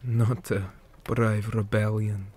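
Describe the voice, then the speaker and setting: A man playing a weary, stern orc commander in an ASMR roleplay, speaking in a hushed, deliberate manner that often drops toward a whisper. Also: on the low side, little pitch movement, husky. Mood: calm, probing. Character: weary male orc commander, stern, measured, dutiful soldier. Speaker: interrogator in a roleplay.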